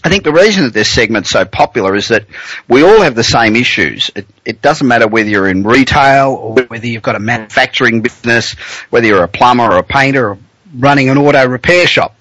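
A man's voice: mid-range voice, lively intonation, fast talker, fairly bright tone, no room sound, low-quality recording. A man with an Australian accent hosting a business talk radio show, blunt and joking, chatting with fillers.

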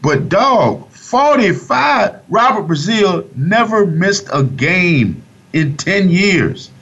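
A man co-hosting sports talk radio, his voice a little high, speaking in a casual, slangy, emphatic way. The audio is muffled and lo-fi. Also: very animated, slow, creaky, fairly bright tone, slightly rough. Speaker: radio co-host.

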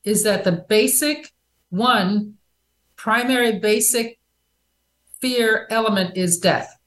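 A woman with a fairly high voice, heard with a noticeable room echo. She speaks emphatically as a radio show guest, affirming often and sometimes rushing ahead in quick bursts. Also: fairly bright tone. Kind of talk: casual conversation. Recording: echoey room sound.

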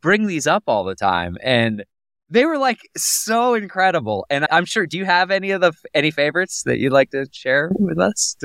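A man's voice: on the high side, very animated, normal speed, a bit bright, dry recording. A man hosting an interview podcast, chatty and friendly, with teasing asides. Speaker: podcast host. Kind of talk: casual interview conversation.

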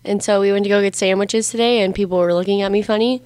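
A woman's voice: medium pitch, steady tone, quick pace, a little mellow, no echo. A woman in her twenties co-hosting a radio talk show, playful and teasing, often giggling. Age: 20s. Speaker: co-host. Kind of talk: casual radio chat. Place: radio studio.

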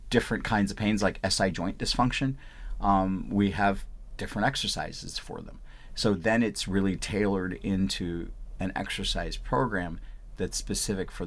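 A man from Punjab in India, a doctor answering interview questions in an explanatory way. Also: sits low. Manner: explanatory, repeats "I want" phrases. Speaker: physician.

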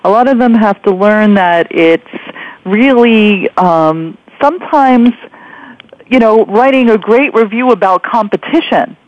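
Halting woman interviewer, dull radio sound. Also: mid-range voice, no echo. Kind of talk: conversational interview.

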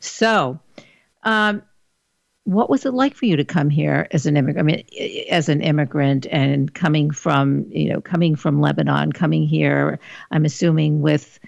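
Low gravelly woman host. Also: moderate pace, a little mellow, no echo, lo-fi sound. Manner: rambling, self-correcting questions. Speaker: interview host. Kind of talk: interview conversation.

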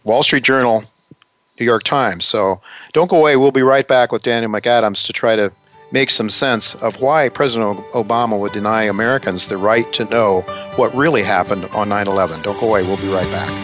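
A man hosting a talk radio show, speaking in a rambling, halting way with many fillers and restarts. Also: on the low side, a bit bright, faint music behind.

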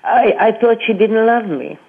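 An older woman tells her story slowly and haltingly, circling back and restarting her sentences. Her English is not native, and the grammar slips now and then. The sound is thin and tinny, as if heard over a phone line. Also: fairly high pitch, husky. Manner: halting, with many restarts and repeated words. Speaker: Holocaust survivor guest. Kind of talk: personal testimony in an interview.

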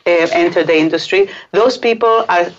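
A middle-aged woman with an Israeli accent, a native Hebrew speaker raised in Israel after a birth in Chile. She is a guest on a talk show and speaks in a direct, animated way. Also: fairly low pitch, a little fast, lo-fi sound, a little hiss or hum. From born in Chile, raised in Israel. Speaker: real estate agent, guest. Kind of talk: casual interview talk.

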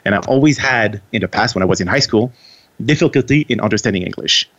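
A man's voice: lowish voice, expressive, fast talker, a bit bright, lo-fi sound. A man with a Quebec French accent, a native French speaker, answering interview questions in English in an earnest way with frequent restarts. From Quebec, Canada. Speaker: guest author.

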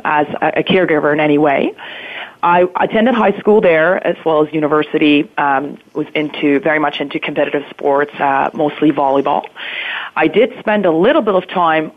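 A woman in her thirties from Switzerland, answering interview questions hesitantly, with frequent ums and uhs. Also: low-pitched. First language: Swiss German. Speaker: guest. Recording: tinny phone line.

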